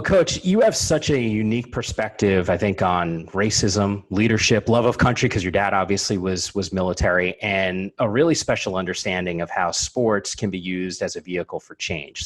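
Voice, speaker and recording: An American man working as a sports broadcaster and host, giving polished introductions and interview questions. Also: fairly low pitch.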